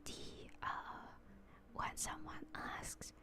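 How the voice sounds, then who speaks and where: A young woman from Hungary, whispering softly as she chats with viewers on an ASMR stream. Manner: whispered, soft and slow, chatting with viewers. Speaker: VTuber streamer. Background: music.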